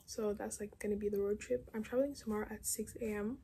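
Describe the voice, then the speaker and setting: A woman's voice: medium pitch, steady tone, quick pace, dark tone. Young woman, teens, casual vlog chat, rambling, phone at arm's length, bedroom, faint background hum. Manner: chatty and rambling, lots of "like". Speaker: vlogger. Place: bedroom at home, indoors. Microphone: phone camera at arm's length.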